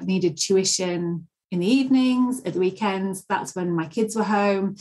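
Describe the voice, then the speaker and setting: A woman's voice: medium pitch, a little mellow, low-quality recording. A middle-aged woman who teaches pronunciation, chatting as a podcast guest with many restarts. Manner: chatty, frequent restarts and fillers. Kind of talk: conversational podcast interview.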